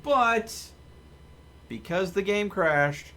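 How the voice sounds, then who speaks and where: A man live streaming a video game, chatting casually to viewers in an expressive, playfully mock-dramatic way. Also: sits high, drawn-out words. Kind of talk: casual gaming commentary to viewers. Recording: music behind the voice. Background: music.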